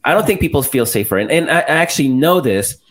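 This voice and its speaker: A man's voice: medium pitch, lively intonation, quick pace, a bit bright. A man from El Salvador hosting a political interview, talking bluntly and pointedly with some mild profanity. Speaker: host.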